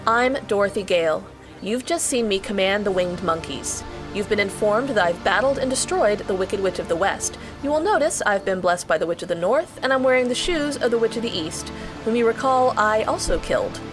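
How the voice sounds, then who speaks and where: A woman's voice: medium pitch, animated, brisk, music in the background, lightly acted. A woman playing a plucky, bossy young heroine with a sassy, emphatic delivery in an audio drama. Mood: bold, commanding. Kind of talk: audio drama acting and first-person narration.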